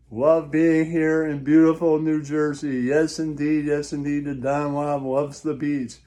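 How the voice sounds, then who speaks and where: Slow, mellow man doing crude comic rambling. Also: fairly high pitch, little pitch movement. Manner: crude, mocking, repetitive, laughs at own jokes. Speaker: comic host of an online show. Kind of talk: rambling comic monologue with repeated catchphrases.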